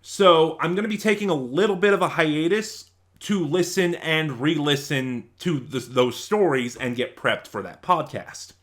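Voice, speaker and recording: Man giving a casual, halting review. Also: on the high side, a bit bright. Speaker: video reviewer and channel host. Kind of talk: casual spoken review to viewers.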